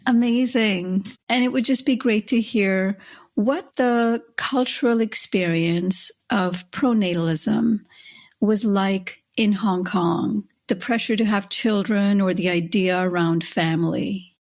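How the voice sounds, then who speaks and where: A woman hosting a podcast, introducing the show and her guest in an earnest, measured way. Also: mid-range voice, a little mellow. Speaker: podcast host. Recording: music bed under voice.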